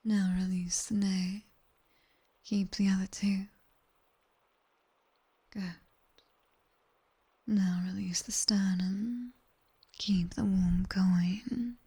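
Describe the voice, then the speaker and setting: A British woman playing a sharp-tongued nightmare demoness tutor in an ASMR roleplay, whispering breathily and close to a binaural mic with wry, commanding instruction. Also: mid-range voice, vocal fry, husky, full character voice. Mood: slow, soothing, commanding. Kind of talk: ASMR roleplay, guided sleep meditation. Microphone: close binaural microphone.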